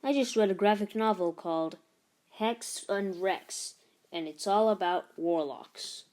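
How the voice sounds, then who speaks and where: A woman's voice: mid-range voice, a little slow, darkish tone, close to an everyday voice. A woman voicing a small, nerdy know-it-all schoolboy in a storybook, explaining things earnestly and wryly.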